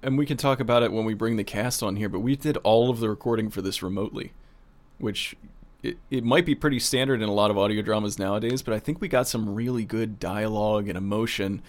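A man's voice: lowish voice, fast talker. A man co-hosting as a producer, asking questions and chatting in a casual, conversational interview style.

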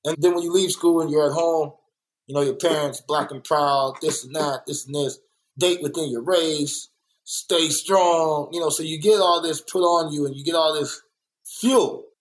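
Guest, young adult man from LA, earnest and reflective, leans a bit high in pitch. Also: normal speed, low-quality recording. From born in Kansas City, raised in South Central Los Angeles. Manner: earnest, reflective, repeats points for emphasis. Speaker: muralist and guest. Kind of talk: candid conversational interview.

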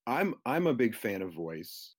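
A man's voice: mid-range voice, sing-song, unhurried. A man, a futurist guest in an interview, explaining his ideas in a conversational, persuasive way.

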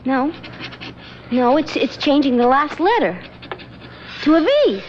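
A jittery playful woman, high lively voice, muffled old radio broadcast with faint hiss. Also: takes it slowly, full character voice. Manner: animated, laughing, a little jittery. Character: nervous, playful young woman, Ann. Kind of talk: 1970s radio drama acting.

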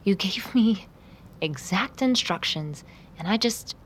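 A woman's voice: medium pitch, animated, takes it slowly, slight background noise. An actress playing a guilt-ridden young vampire woman in an audio drama, with a dry, guarded way of talking. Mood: guilty, downcast. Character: guilt-ridden female vampire in hiding, dry and world-weary. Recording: music under the voice.

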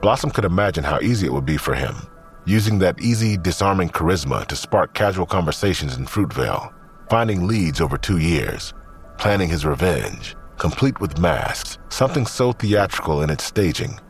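A man narrates an audio drama in a very deep voice. His scripted delivery moves through a lively range of pitch, with faint music behind him. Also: normal speed.

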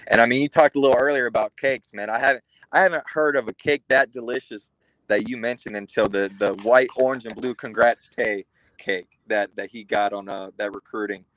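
A man's voice: medium pitch, fairly even intonation, a little fast, a bit bright, no room sound, poor audio. A man of about thirty from Florida, a guest analyst talking football with enthusiasm and some repeated starts. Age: around 30. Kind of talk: casual sports podcast chat.

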